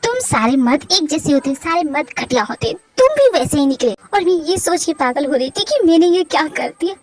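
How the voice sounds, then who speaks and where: A high, bright-pitched young woman, fiery and wounded, firing off heated accusations in a storybook reading voiced by a woman. Her lines come in rapid, pleading bursts. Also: big pitch swings, dry recording. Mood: accusing.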